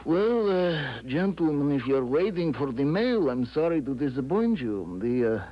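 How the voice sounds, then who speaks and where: An actor playing a fussy, matter-of-fact hotel desk clerk in a 1970s radio drama, politely hesitant and deliberate. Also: male voice, a little high, big pitch swings, lo-fi sound, a little hiss or hum. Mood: polite, apologetic.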